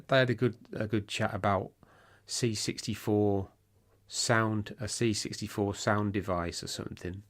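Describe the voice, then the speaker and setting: A British man co-hosts a podcast, chatting in a rambling way full of restarts. His voice is fairly low and sounds a little worn from talking. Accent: British English. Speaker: podcast co-host. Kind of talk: casual chat.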